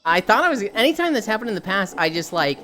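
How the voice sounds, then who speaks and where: A woman's voice: medium pitch, sing-song, fast, a bit bright, a little hiss or hum. A woman streaming casually, rambling through stories, swearing and laughing easily. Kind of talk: casual chat while streaming.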